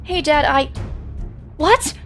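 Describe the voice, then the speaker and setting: A woman's voice: high-pitched, animated, takes it slowly. A woman playing a frantic young superheroine who gives urgent commands in an audio drama. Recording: loud music over voice.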